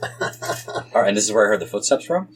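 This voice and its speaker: A man's voice: on the low side, animated, fairly quick. A man talking casually as a player in a tabletop role-playing game, saying what his character will do. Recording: clear ambient background noise.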